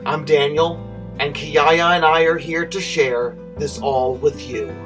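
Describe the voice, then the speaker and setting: A man's voice: fairly high pitch, fairly even intonation, slow pace. A man hosting a story time series, giving a scripted introduction. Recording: loud guitar music, distant mic. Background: loud plucked guitar music.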